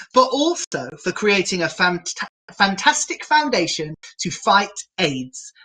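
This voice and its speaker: A woman's voice: medium pitch, a bit bright, poor audio. A woman reading a picture book aloud to children in a theatrical, playful manner, with asides to the viewers. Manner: theatrical, playfully self-mocking. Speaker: children's storyteller, drag queen.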